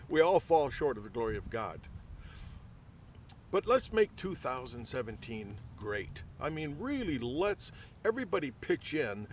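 A man's voice: mid-range voice, big pitch swings, a little slow. An older man talking earnestly to camera in an open field, reading quotes aloud with emphasis. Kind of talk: casual outdoor video talk. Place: open field outdoors by a tower. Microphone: camera at arm's length. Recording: outdoor ambient noise.

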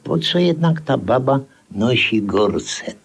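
An actor playing a crude, hungry, sardonic dinner guest in a 1950s radio comedy, muttering mocking asides. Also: male voice, medium pitch, animated, takes it slowly, gravelly, breathy edge, heavily acted.

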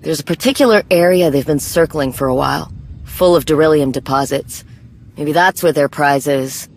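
A woman acting a brisk, proud, no-nonsense warrior in a video game, with a blunt, clipped, dry manner. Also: deep voice. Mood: wry, analytical.

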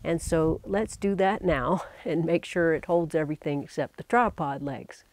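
Woman, sixties, slightly low register. Casual vlog host, unhurried and plain-spoken, dry wit. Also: a little mellow, no echo. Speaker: vlogger, amateur astronomer. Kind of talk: casual explanatory vlog to camera.

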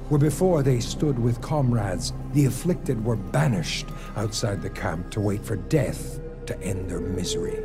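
Expressive, dramatic male epic narrator. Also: medium pitch. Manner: dramatic, grave. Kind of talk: scripted epic storytelling narration.

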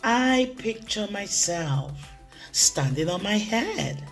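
A woman voicing an excitable, playful young goat kid in a children's storybook, bubbly and expressive. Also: medium pitch, faint music behind. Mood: determined. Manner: bubbly, expressive, drawn-out emphasis. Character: excitable, playful young goat kid who loves making friends laugh. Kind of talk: first-person children's storybook read-aloud.

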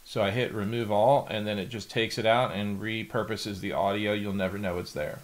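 A middle-aged man with a fairly low voice. He hosts a casual software tutorial. His explanations are plain and go step by step. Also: steady tone, normal speed. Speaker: tutorial host. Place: indoor home office.

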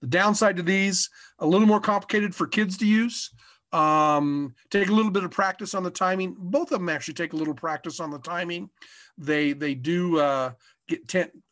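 A man in his sixties with an American accent, giving an informal lesson as an instructor, halting and often repeating his words. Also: fairly high pitch, fairly bright tone, no room sound, studio quality. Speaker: fishing instructor. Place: small indoor room, curtain backdrop. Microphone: webcam video call.

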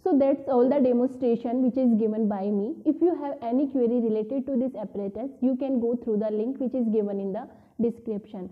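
A woman's voice: fairly high pitch, a little fast, phone-quality sound. A woman in her twenties with an Indian accent, presenting an instructional demonstration plainly and step by step. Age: 20s. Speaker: product demonstrator. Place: office showroom with shelves, indoors. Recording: roomy, echoing indoor recording.

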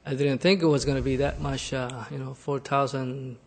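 A man's voice: medium pitch, level tone, moderate pace, creaky, slight background noise. Unsure man answering at a podium. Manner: unsure, trailing off. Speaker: applicant speaking at a podium. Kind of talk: answering council questions. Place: council chamber, standing at podium mic.

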